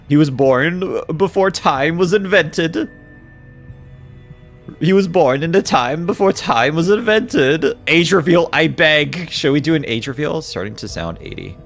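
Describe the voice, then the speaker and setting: A man's voice: fairly high pitch, vocal fry, fairly bright tone. A man in his twenties streaming a game, joking with chat, reading messages aloud and laughing often. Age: 20s. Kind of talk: casual livestream chat while playing a game.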